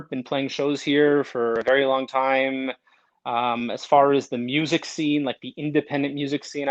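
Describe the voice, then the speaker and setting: A man from Montreal, a band member guest on a podcast, talking casually in a rambling way with many fillers and restarts. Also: medium pitch. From Montreal, Canada. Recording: slightly dull lo-fi sound.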